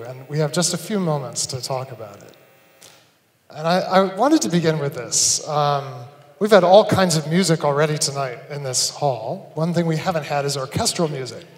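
A middle-aged man hosting an onstage interview, asking earnest, fluent questions. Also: a little high. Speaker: conference host. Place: large hall stage. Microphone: handheld microphone. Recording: long hall reverb.

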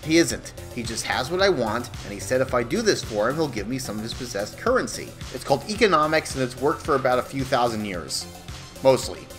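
A man playing an anxious young man in an animated dark comedy, confiding in a wry, hesitant, exasperated manner. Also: medium pitch, brisk, soft background music. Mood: defensive. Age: young adult.

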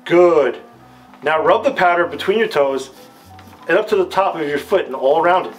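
A man's voice: on the high side, lively intonation, moderate pace, close to an everyday voice, rough recording. An American man in his thirties playing an authoritative army captain, giving formal, scripted instruction in a retro military training film. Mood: instructive, assured. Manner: formal, didactic, stilted. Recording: music under the voice. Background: background guitar music.

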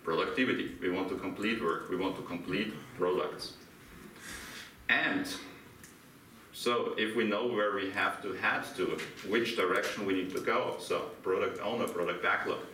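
A middle-aged Austrian man with a German accent presents at a conference, putting questions to a small audience. His low voice has a bright edge. It was picked up from a distance in an echoing room. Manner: asks the audience questions, laughs lightly. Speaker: conference speaker. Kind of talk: interactive conference talk. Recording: echoey room from far off, faint hiss.

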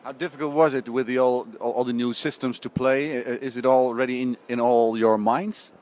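A man's voice: medium pitch, steady tone, moderate pace, a little mellow. A man interviewing a coach and a player after a basketball game, asking casual questions. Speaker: sports interviewer.

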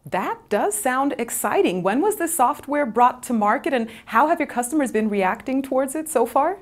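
30s woman host, kinda high voice, bubbly polished presenter, lapel mic in an office. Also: expressive, moderate pace. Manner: upbeat, polished, addressing viewers. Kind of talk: scripted corporate presenting. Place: bright modern office.

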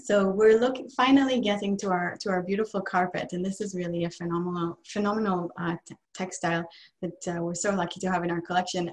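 A woman in her thirties whose first language is Hebrew, talking as a museum curator. She starts and restarts her sentences often. Her voice comes over a laptop mic on a video call from a small room. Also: medium pitch. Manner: hesitant, many restarts.

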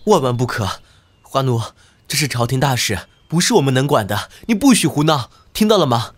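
An actor playing a sober, cautious young prince and elder brother, measured and admonishing, in a historical audio drama. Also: male voice, mid-range voice, very animated, lightly acted. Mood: stern, alarmed.

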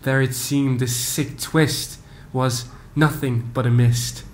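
Slow, even-toned young man reading poetry. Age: late teens. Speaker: student poet. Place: living room, close webcam. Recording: faint rumbling background noise.

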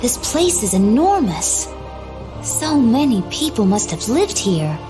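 A woman's voice: a little high, big pitch swings, a little slow, music in the background. A woman voicing an earnest, questioning teenage girl in a fantasy video game. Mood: wondering.

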